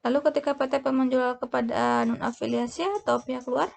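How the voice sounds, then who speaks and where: A woman speaks in a fairly high voice with noticeable creak. She teaches step by step through worked examples, haltingly, often stopping mid-word and correcting herself. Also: fairly even intonation. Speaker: accounting lecturer. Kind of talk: instructional explanation of worked examples.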